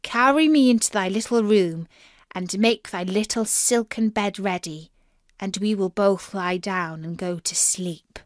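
Woman as an insistent, slow-calling little frog. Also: medium pitch, dry recording, clean studio sound, close to an everyday voice. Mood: demanding. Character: insistent little male frog, pleading and demanding.

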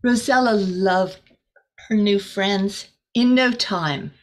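A middle-aged woman reads a storybook aloud for children. The pace is slow and deliberate and the pitch is average for a woman. She reads expressively, like a teacher, adding short explanations. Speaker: volunteer teacher reading to children. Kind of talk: storybook read-aloud with asides. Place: small room indoors.

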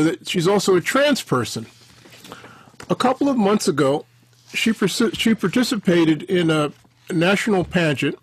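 An older man hosts a podcast interview, speaking in a high, husky voice. His sound is dry and close, picked up by a headset. He rambles and restarts his sentences as he goes. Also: no room sound, poor audio. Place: home office with bookshelves. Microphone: headset on video call.

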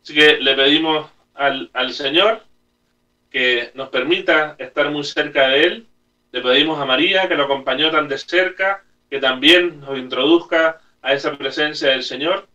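A middle-aged man speaks as a priest at a Mass recorded at home. His voice is fairly high and bright, and he preaches earnestly in short phrases with pauses. The microphone stands a little way off in the room. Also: recorded from a distance, highs cut off. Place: small room at home, indoors. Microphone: camera a few metres away.

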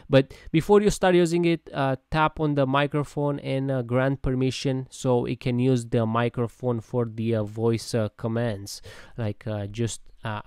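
Man, tech tutorial host, hesitant with fillers, resonant voice, mid pitch. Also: darkish tone, clear, resonant tone, dry recording, studio quality. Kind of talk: casual walkthrough narration.

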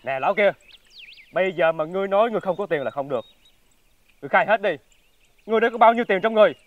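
A man's voice: sits high, lively intonation, racing, phone-quality sound. A man playing a shrewd, authoritative helper in a folk-tale drama, speaking in a commanding and persuasive way. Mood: stern. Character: shrewd, authoritative male helper addressing an old beggar. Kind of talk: theatrical folk-tale TV acting.

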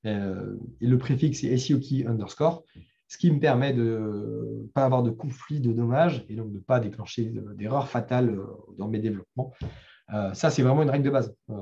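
Man giving a relaxed coding talk. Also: medium pitch, fairly quick, gravelly, highs cut off. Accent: French. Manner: loose, conversational, many fillers and restarts. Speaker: meetup speaker. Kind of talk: live talk with screen demo.

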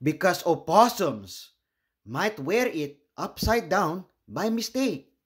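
A man from the Philippines hosting a read-aloud for children, telling the story in a deliberate, expressive manner. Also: high voice. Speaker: host reading children's books aloud.

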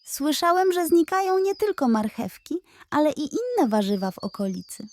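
A woman's voice: high-pitched, sing-song, moderate pace, darkish tone, no echo, pitched above the performer's own voice. A woman voicing a shy, nervous little male bunny in a bedtime audio story.

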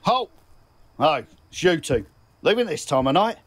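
A man's voice: on the high side, very animated, unhurried, a bit bright, exaggerated voice. A man playing a suspicious but easily distracted city guard in a fantasy audio drama.

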